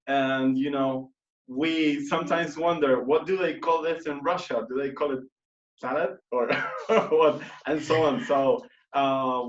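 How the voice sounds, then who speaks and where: Host, chatty, lots of ums, far from the mic, roomy muffled call. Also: male voice, mid-range voice. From Argentina. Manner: chatty, many fillers and restarts. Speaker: radio host. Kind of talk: casual interview in English.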